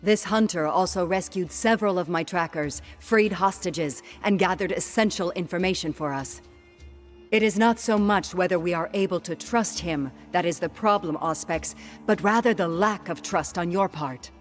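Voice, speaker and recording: An actress playing a composed, firm leader of trackers in a fantasy game, speaking formally. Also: female voice, on the low side, moderate pace, faint music behind, close to an everyday voice. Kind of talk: dramatic fantasy game acting.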